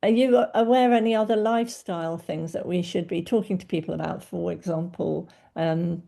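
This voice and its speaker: A woman's voice: fairly low pitch, fairly even intonation, brisk, vocal fry, warm tone. A middle-aged woman, a doctor hosting a podcast, asking questions and giving attentive backchannels. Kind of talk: podcast interview.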